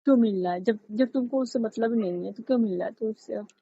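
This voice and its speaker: A woman's voice: fairly high pitch, fast talker, vocal fry, husky, dry recording. A young woman chatting casually on a recorded phone call, insistent and teasing in manner. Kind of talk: casual phone chat with brother.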